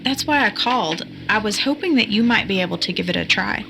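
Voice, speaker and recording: A curious young computer sleuth acted by a woman with a mid-pitched voice. She explains things conversationally in an audio drama, with clear noise in the background. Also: expressive, fairly quick, pushed and bright. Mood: concerned, intrigued.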